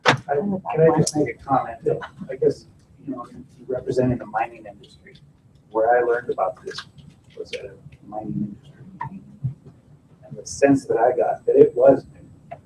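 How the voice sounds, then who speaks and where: A man's voice: medium pitch, normal speed, dark tone, slight background noise. A man representing industry, making a hesitant comment at a meeting. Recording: distant room sound.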